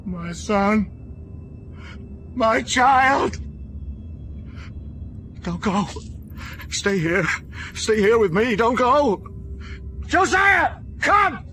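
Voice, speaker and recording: An actor playing a grieving, tormented father in a radio drama, speaking in halting, repeated phrases. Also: extremely high pitch, animated, sharp tone, a little hiss or hum, exaggerated voice. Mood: desperate anguish. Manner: halting, broken delivery, repeating himself. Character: grieving, tormented father, an American former prisoner of war.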